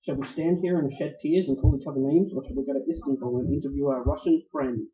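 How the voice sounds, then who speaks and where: An actor in a noir radio play, playing a suave, courtly older criminal mastermind who speaks with florid, deliberate politeness. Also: male voice, mid-range voice, steady tone, natural voice. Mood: eager, grandiose. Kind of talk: staged radio play acting, 1940s noir. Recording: roomy, dull live stage recording.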